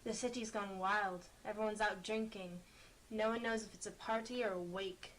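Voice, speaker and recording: A woman playing a bossy, exasperated team member in a sci-fi drama, brisk and scolding, with some background noise. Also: mid-range voice, steady tone, a bit bright, close to an everyday voice, rough recording. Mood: weary.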